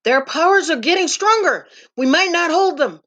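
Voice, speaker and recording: A woman voices a rattled guard leader in an audio drama. He shouts in alarm, high and loud, thoroughly panicked. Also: expressive, moderate pace, highs cut off.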